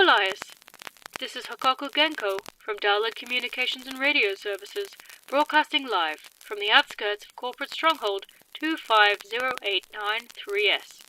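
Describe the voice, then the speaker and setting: A woman plays a female field reporter in a radio drama. Her voice is sharp, bright and on the high side, with formal, precise phrasing. Faint noise sits behind her. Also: rough recording. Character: composed female troll field reporter, gradually unnerved.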